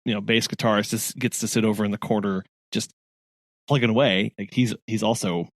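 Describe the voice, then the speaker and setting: A man's voice: on the low side, fairly even intonation, a little fast, no room sound. A man co-hosting a podcast in casual chat, rambling with many restarts and frequent laughs. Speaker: podcast co-host.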